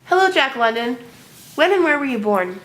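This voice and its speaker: A young woman playing a talk show host who reads out her questions in a scripted, upbeat way. Her voice is a little high. The room is echoey, with faint hiss behind it. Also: very animated, normal speed, a bit bright. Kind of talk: school project mock talk show.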